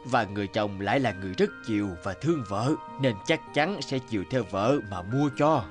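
A man voicing an elderly village healer in a cartoon, a stern, wise teacher who speaks in a measured, admonishing, instructive way. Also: fairly low pitch, expressive, soft background music, natural voice. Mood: explaining. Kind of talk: Vietnamese cartoon voice acting.

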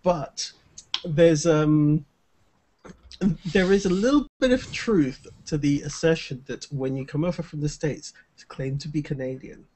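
A man's voice: a little high, unhurried, a little mellow, rough recording. A man from England with a British accent, speaking hesitantly in a casual video-call chat. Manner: hesitant, short agreeing interjections. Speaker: hangout participant.

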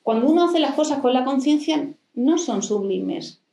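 A woman's voice: high voice, expressive, moderate pace, creaky, dark tone. A middle-aged woman psychoanalyst giving a lecture into a handheld mic, explaining and answering with rhetorical questions. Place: library hall with a desk and plants. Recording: echoey hall.

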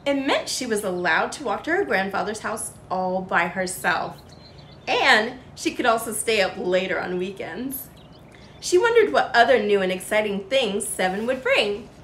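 A young woman reading a children's story aloud with expressive, sing-song emphasis. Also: mid-range voice, normal speed, reverberant, a little hiss or hum.

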